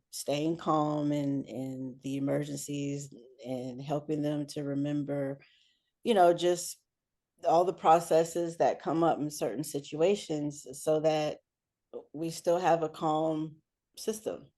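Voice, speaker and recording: A woman giving conversational interview answers as a guest, often pausing mid-sentence. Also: low voice, steady tone, mellow. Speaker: interview guest, air traffic control supervisor and businesswoman.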